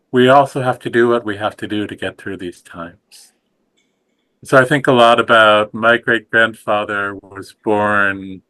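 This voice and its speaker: A middle-aged man speaking slowly and softly in a reflective, contemplative conversation, his voice trailing off at the ends of phrases. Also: on the low side, poor audio. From United States. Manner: slow, soft and contemplative, trailing off quietly. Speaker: guest teacher.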